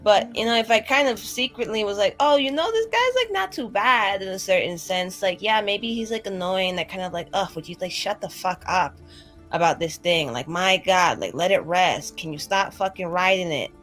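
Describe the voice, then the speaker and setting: A woman's voice: mid-range voice, lively intonation, normal speed, fairly bright tone. A woman playing in a tabletop roleplaying game, improvising casual, rambling in-character dialogue. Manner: rambling and conversational, heavy use of like. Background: music.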